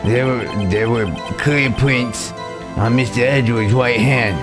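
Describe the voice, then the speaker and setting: A man's voice: mid-range voice, steady tone, music in the background, lightly acted. A man voicing a nervous, apologetic, dutiful police detective who stammers and halts in a courtroom drama game. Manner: stammering, halting.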